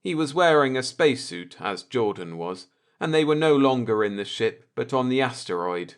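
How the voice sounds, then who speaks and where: A man narrates an audiobook with a mid-pitched voice. He reads in a measured, dramatic storytelling manner, giving scripted fiction a deliberate delivery. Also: big pitch swings, clear, resonant tone.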